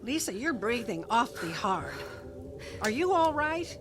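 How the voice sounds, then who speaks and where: A woman's voice: fairly high pitch, very animated, measured pace, noisy background. An actress playing a cautious, lonely older woman in a radio drama, hesitant and wary. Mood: concerned, hesitant.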